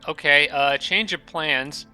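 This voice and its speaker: A man's voice: a little high, takes it slowly, sharp tone, clean tone, faint music behind. A man giving casual commentary over a strategy game, thinking aloud with many fillers and restarts, his nose stuffed up from allergies.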